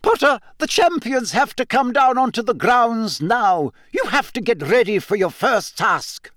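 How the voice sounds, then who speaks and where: An anxious older witch professor from a fantasy storybook, acted out by a male narrator, speaks in a raised, shaky voice. She is brisk and urgent, trying to keep order through her nerves. The sound is dry and close. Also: very high-pitched, lively intonation, fairly bright tone, no room sound, pitched above the performer's own voice, exaggerated voice.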